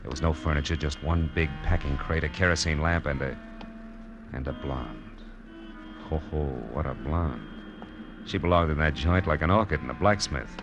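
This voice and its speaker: A deep-voiced American private eye, hard-boiled and wisecracking. He talks in clipped, slangy phrases in the manner of 1940s radio drama, with prominent music on the old broadcast. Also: moderate pace, muffled recording. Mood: hard-boiled narrating. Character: tough wisecracking male private detective. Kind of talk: 1940s radio drama acting and first-person narration.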